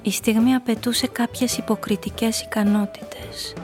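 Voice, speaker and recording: A woman's voice: on the high side, fairly even intonation, over music, close to an everyday voice. An actress playing a cool, calculating nightclub hostess, telling her story and speaking her lines in a composed, knowing radio drama style. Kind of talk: first-person noir radio play narration and dialogue.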